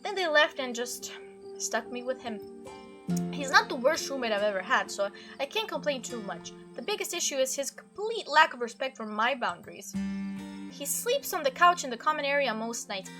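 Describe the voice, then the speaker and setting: A woman hosting a game playthrough, reading dialogue aloud expressively and adding her own commentary. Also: high voice. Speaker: gaming video host.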